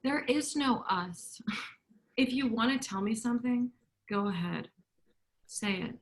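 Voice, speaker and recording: An actress reading a scornful, defiant high school girl at a film table read. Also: lively intonation, measured pace. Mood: cold.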